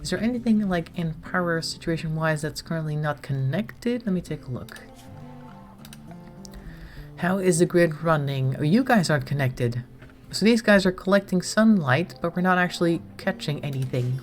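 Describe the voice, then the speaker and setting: Woman, low voice, slightly congested, casual game commentary, chatty, faint background music. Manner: chatty, talks to herself while managing the colony. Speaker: streamer.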